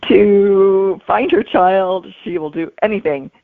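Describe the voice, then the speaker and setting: Female author guest, gravelly fry in the voice, reflective casual explanations, narrow telephone-like sound. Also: mid-range voice, slow, no room sound. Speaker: guest novelist. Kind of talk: casual interview talk. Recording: thin phone-like audio.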